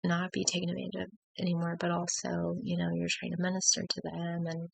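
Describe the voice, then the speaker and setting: A woman who has just woken up, speaking in a gravelly voice as she talks through a personal struggle at home on her laptop, hesitant and reflective with many fillers and restarts. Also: fairly low pitch, monotone, fairly quick, dry recording, rough recording. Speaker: faith vlogger. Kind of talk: personal confessional talk to camera.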